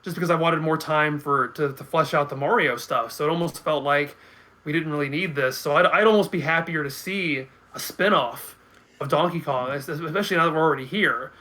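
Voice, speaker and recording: A man's voice: a little high, brisk, bright, forceful tone. A man in his thirties chatting casually as a podcast guest, thinking aloud with hesitant, repeated words. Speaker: guest commentator. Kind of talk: casual video podcast chat.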